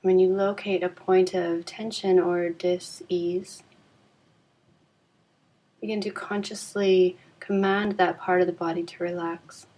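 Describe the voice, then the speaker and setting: A woman leading a guided yoga nidra relaxation in a calm, soothing, unhurried way with long pauses. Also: lowish voice.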